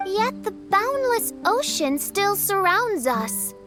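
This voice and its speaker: A woman's voice: very high voice, very animated, slow pace, over music, full character voice. A woman voicing a grandiose teenage girl with princess-like airs, speaking in a florid, melodramatic, archaic manner for an anime-style game.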